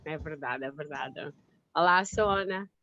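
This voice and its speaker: A woman's voice: sits low, a little slow, sharp tone. A woman nurse, chatting informally at an online event. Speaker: panelist, head school nurse. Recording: dry, muffled online call.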